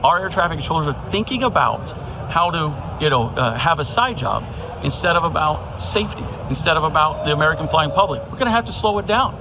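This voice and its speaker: A man's voice: on the high side, lively intonation, fairly quick. A man speaking as a union leader in a news clip, urgent and emphatic. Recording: clip with clear background noise.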